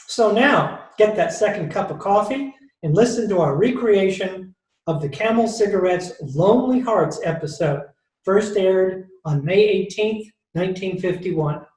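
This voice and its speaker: A man's voice: high-pitched, lively intonation, unhurried, darkish tone. A man announcing an old-time radio show in scripted narration, recorded at home. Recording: roomy, distant home recording.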